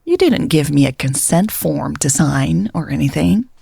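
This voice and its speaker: A woman voicing a teasing, sharp-witted female lover in audio story dialogue, with playful, challenging banter. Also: deep voice, lively intonation, measured pace, creaky, husky, dry recording, studio quality, full character voice.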